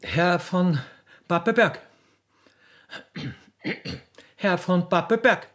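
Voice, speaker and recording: A male actor plays a mature Viennese widow of a butcher, rich, blunt and coquettish. The voice sits a little high for a man, with folksy, halting turns and an insistent wooing manner, in theatrical comic radio-play style. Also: a bit bright. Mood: smitten, scheming.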